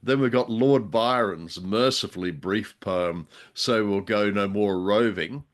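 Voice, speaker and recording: Low-voiced man, halting and bookish. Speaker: radio show co-host. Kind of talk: casual discussion of old radio drama.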